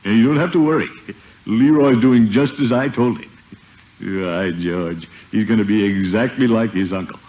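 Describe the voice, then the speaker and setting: An actor playing a pompous, boastful middle-aged uncle, jovial and blustery, in a 1950s radio comedy. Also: male voice, on the low side, moderate pace, natural voice. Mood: self-satisfied. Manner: pompous, swooping, self-satisfied. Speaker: lead comic character. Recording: muffled, noisy 1950 radio broadcast.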